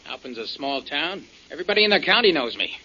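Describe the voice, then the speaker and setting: Sharp, defensive small-town fellow. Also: male voice, fairly high pitch, sing-song, quick pace, exaggerated voice. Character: Bill, defensive, challenging small-town man. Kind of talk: 1940s radio detective drama acting. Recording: dull lo-fi 1940s radio recording.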